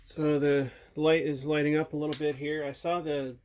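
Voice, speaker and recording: Low-key man thinking aloud while tinkering. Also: little pitch movement, normal speed, darkish tone, a little hiss or hum. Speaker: hobbyist host showing a radio repair. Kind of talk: casual unscripted hands-on demonstration. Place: indoor workbench. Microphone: handheld or tripod camera mic.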